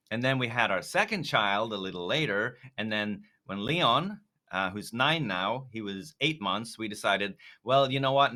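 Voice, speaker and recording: A man's voice: fairly low pitch, bright, forceful tone. A middle-aged man from Sweden, a native Swedish speaker, answering as a guest in a conversational interview. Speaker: interview guest, company founder.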